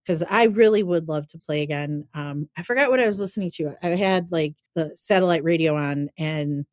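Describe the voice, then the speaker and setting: A woman co-hosting a podcast, chatting casually with lively reactions and a rambling way of talking. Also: low-pitched, brisk, no room sound, clean studio sound. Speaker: podcast co-host. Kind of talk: casual chat.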